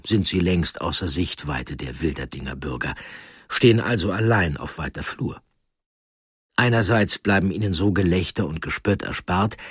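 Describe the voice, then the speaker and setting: A man narrating a historical documentary in a calm, explanatory way. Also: low voice, husky, no room sound, clean studio sound. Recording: narration over music.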